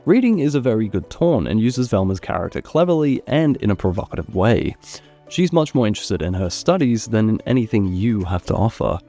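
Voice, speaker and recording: A man hosting a gaming video, narrating a review in an expressive, opinionated way into a close mic. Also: on the low side, fairly quick. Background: background music.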